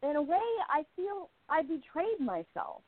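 A spirited young newswoman of the 1920s, voiced by a woman in an amateur radio play. She speaks earnestly and with feeling, in a slightly high voice. The sound comes through tinny and phone-like. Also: big pitch swings, measured pace. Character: young modern female journalist, spirited and independent.

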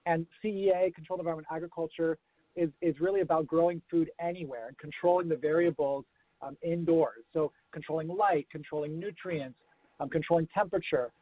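A man's voice: a little high, fairly quick, no echo. Man explaining over a tinny phone line. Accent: American-sounding. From grew up around the world. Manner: thoughtful, explanatory, with fillers. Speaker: guest, urban farming consultant. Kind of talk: remote interview.

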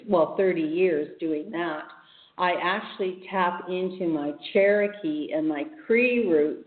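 A woman speaking as a guest healer in a casual interview, telling her story earnestly and reflectively, with restarts. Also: lowish voice. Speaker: podcast guest, spiritual healer. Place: home in a village, remote call. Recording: echoey, phone-like remote line.

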